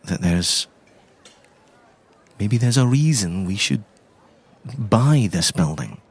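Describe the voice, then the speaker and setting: A man's voice: lowish voice, animated, slow, husky, no room sound, exaggerated voice. A man playing a shifty young property schemer in an improvised audio drama, confiding and defensive. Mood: defensive, insistent.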